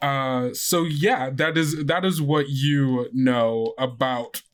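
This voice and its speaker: A man's voice: medium pitch, fairly even intonation, slow. A man running a tabletop role-playing game, narrating the world and explaining the rules to players. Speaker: game master of an actual-play podcast.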